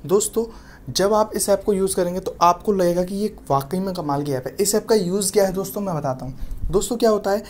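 A man's voice: sits high, brisk, a little mellow, slight background noise. A man giving an app tutorial voice-over as a YouTube host, often addressing his viewers as friends.

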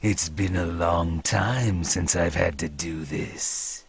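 A man voicing a menacing, battle-hungry shark-man ninja in an English anime dub, gravelly and husky, with a taunting drawl. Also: deep voice, slow, crowd noise, full character voice.

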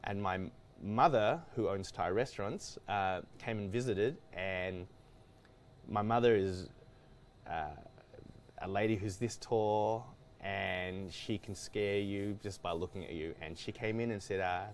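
A man's voice: lowish voice, unhurried, a little hiss or hum. A man, a guest chef telling his story in a studio kitchen, with lots of fillers and restarts. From Australia. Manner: rambling, many restarts and fillers. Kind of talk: casual studio interview.

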